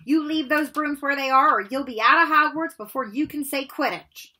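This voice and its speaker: A woman voicing a brisk female teacher, stern but caring, with a firm, commanding manner. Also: high-pitched, pushed and bright, pitched above the performer's own voice.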